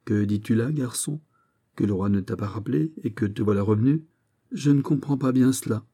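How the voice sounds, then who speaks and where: A man voicing a gruff, teasing musketeer captain. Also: medium pitch, a little fast, dark tone. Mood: gruff surprise. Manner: blustering, exclamatory, mocking. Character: gruff, teasing middle-aged musketeer captain, fatherly and sardonic. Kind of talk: dramatised audiobook of a historical novel.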